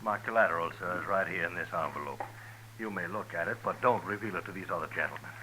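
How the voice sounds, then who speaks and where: An actor playing a smooth gambling con man who presses hard and persuades, in a 1950s radio drama. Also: deep voice, fast talker, noisy background, lightly acted. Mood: urgent, persuasive. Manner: insistent, persuasive.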